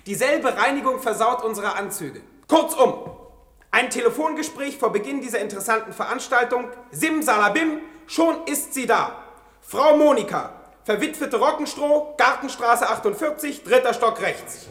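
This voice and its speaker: A man's voice: very high-pitched, expressive, moderate pace, fairly bright tone, roomy sound. An actor playing a wry, theatrical male journalist in a German radio play. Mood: showy, triumphant. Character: sardonic, showy male newspaper journalist.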